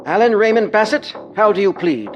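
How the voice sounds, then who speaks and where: A woman's voice: mid-range voice, animated, a little fast, muffled recording, lightly acted. A woman playing a stern, commanding judge in a formal true-crime courtroom reenactment, with music behind.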